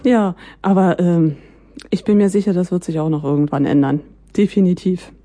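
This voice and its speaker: A woman with a relaxed, mellow voice leads a casual interview. She phrases things hesitantly and encouragingly, and the sound is dry, without room echo. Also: lowish voice, normal speed.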